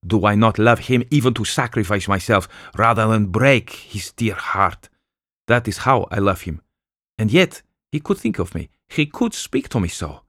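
A man's voice: fairly low pitch, expressive, moderate pace, fairly bright tone, no echo, clean studio sound, pitched above the performer's own voice. A man reading an audiobook voices a feverish young wife, anguished and delirious.

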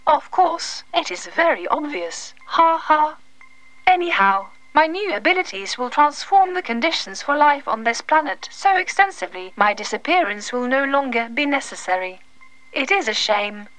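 A woman playing a condescending, calmly menacing artificial intelligence delivering a formal, deadpan letter as an audio drama monologue. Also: a little high, rough recording. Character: superintelligent AI, female, condescending, calmly menacing, detached.

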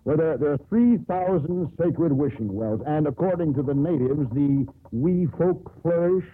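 An actor playing a bold, sardonic sea captain in a theatrical 1950s radio drama style. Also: male voice, on the high side, a little slow, husky. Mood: teasing, storytelling. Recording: old 1950s radio broadcast, narrow band.

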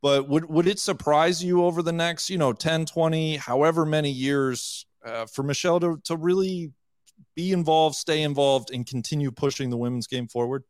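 A man's voice: on the high side, normal speed, dry recording, studio quality. A man hosting a golf podcast, asking conversational questions and poking fun at himself. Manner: conversational, self-deprecating questions. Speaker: podcast host. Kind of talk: casual interview.

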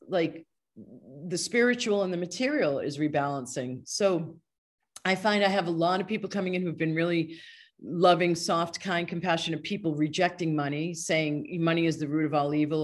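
A woman's voice: lowish voice, normal speed. A woman speaking as a podcast guest, a healer and coach explaining ideas in an earnest, discursive, conversational way. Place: online video call.

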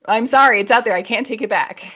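A woman's voice: fairly high pitch, quick pace, pushed and bright, breathy edge, muffled recording. A woman podcast host chatting casually as a nerdy, giggly explainer who wanders off on digressions.

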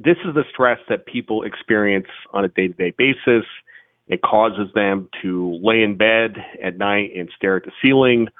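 Male guest, lowish voice, tinny remote line, plain explainer. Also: no room sound. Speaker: guest, financial expert. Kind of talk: interview answers.

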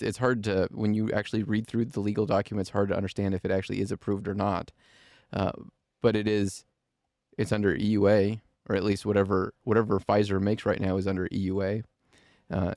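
A man's voice: lowish voice, darkish tone, no echo, clean studio sound. A man hosting an interview podcast, explaining points earnestly and with emphasis. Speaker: podcast host.

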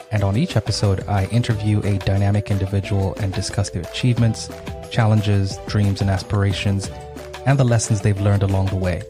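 A man hosting a podcast who reads a scripted intro, then chats upbeat with many fillers. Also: on the low side. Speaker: podcast host.

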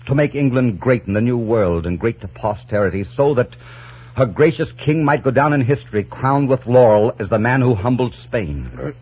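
A man's voice: medium pitch, lively intonation, normal speed, darkish tone, breathy edge. An actor playing a bold buccaneer captain in a 1940s radio drama, earnest, declamatory and deferential to his king. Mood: proud, fervent. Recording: muffled old 1940s radio broadcast.

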